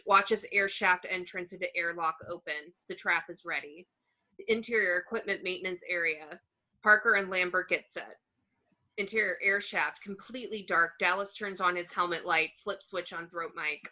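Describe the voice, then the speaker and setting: A woman's voice: fairly low pitch, moderate pace, pushed and bright. A woman narrator reading out screenplay action descriptions on a dull, lo-fi home recording.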